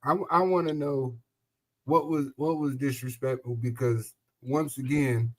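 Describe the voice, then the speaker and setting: A man's voice: medium pitch, fairly even intonation, a little slow. A man co-hosting a casual group discussion. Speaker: co-host.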